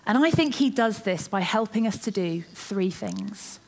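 A woman's voice: mid-range voice, lively intonation, unhurried, gravelly. Lady giving a sermon, chatty storyteller, mid voice, church mic. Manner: chatty, self-deprecating storytelling. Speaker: preacher. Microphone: microphone.

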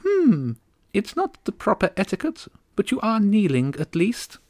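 Haughty little starling queen, high and prim, clipped and sharp, with a dry close sound, put on by a man reading a storybook. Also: huge pitch swings, unhurried, dry recording, exaggerated voice. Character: tiny regal female starling queen, haughty.

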